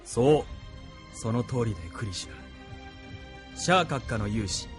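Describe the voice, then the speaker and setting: An actor playing a smug, taunting villain with a deliberate, theatrical delivery in an anime audio drama. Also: male voice, mid-range voice, animated, soft background music, natural voice. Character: smug, taunting male villain showing off to captives.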